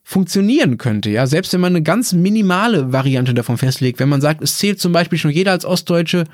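A German man leads a casual discussion with a lively, teasing manner and stacks up repeated words for emphasis. His voice sits fairly high. The sound is close and dry. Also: a little fast, no echo. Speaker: podcast host.

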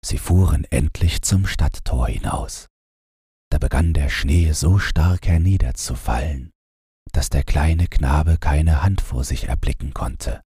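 Bedtime audiobook narrator: man, deep and very breathy, close dry sound, measured gentle delivery. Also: no room sound. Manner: calm, measured, gentle storytelling. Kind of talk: bedtime fairy-tale narration, read from script.

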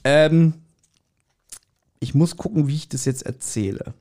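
A man's voice: a little high, unhurried, a little mellow. Bantering German man, podcast co-host. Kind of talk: casual bantering podcast chat.

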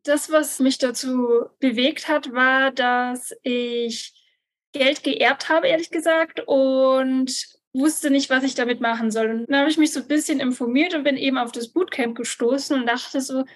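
Female interviewee with a high voice, candid, laughing lightly. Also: steady tone, a little slow. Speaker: course graduate.

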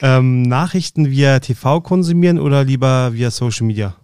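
A man co-hosts a podcast in an easygoing way, laughing along as he asks his questions. His pitch is ordinary for a man. The sound is dry and close, with no room sound. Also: moderate pace, a little mellow, clear, resonant tone, no echo. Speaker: podcast co-host. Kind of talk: casual podcast conversation.

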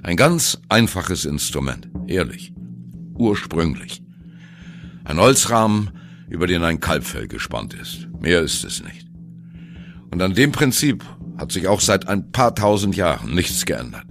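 A man's voice: low-pitched, big pitch swings, a little slow, creaky, exaggerated voice. An actor playing a sardonic jazz musician in a radio play, confiding his absurd story to the listener in a dramatic, wry manner. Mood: wry storytelling. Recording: music behind the voice.

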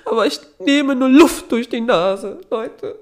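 A woman's voice: on the high side, slow pace, a little mellow. A young woman hosting her own podcast, chatting casually with a nasal, stuffy-nosed voice, apologetic and rambling with many fillers. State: stuffy nose from a cold. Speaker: podcast host. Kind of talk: casual solo podcast chat.

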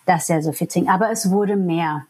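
A woman's voice: lowish voice, normal speed, a little mellow, slightly rough. A woman from New York, a native English speaker, speaking German and talking frankly and reflectively about her life in an interview. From New York, USA. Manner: frank, reflective, laughs easily. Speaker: interview guest. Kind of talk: candid personal interview.

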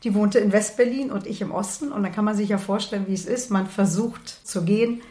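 A woman in her sixties from Berlin, a retired nurse and author, telling her life story in a calm, matter-of-fact way. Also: mid-range voice, fairly quick. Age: 60s. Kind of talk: personal life story in conversation. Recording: roomy echo.

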